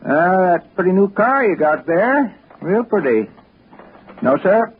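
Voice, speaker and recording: Grizzled old american small town geezer, folksy drawl, slow warnings, bit high voice, dull old radio sound. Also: male voice, sing-song. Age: older. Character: grizzled, blind old local man, folksy and foreboding. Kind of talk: 1960s radio acting. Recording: muffled vintage radio recording, faint noise.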